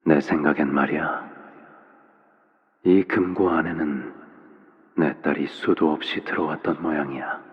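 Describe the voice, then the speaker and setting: A man voicing a soft-spoken, menacing father figure of a crime syndicate in a video game, with gentle parental phrasing. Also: fairly even intonation, a little slow, pauses often. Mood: cool, measured. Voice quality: very deep, low voice. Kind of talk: scripted video game voice acting. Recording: long reverb, dull muffled sound.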